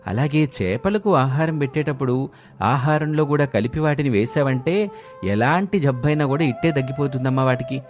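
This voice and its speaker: A man's voice: medium pitch, moderate pace, natural voice. A man voicing a reassuring, helpful village man in storybook dialogue. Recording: music behind the voice.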